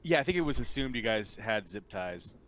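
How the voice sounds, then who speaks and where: Male game master, lower register, informal stop-start narration, slightly noisy recording. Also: lively intonation, a bit bright. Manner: halting, informal delivery. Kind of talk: improvised tabletop game narration, casual.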